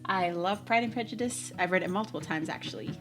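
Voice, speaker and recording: A woman's voice: on the low side, fast talker, over music. A woman in her thirties presenting as a school librarian host, talking casually to camera for students. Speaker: school librarian hosting a virtual library show.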